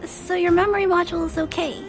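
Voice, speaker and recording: A woman's voice: extremely high pitch, steady tone, quick pace, over music. A woman playing a timid, caring little girl in a video game, polite and childlike. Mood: worried, shy.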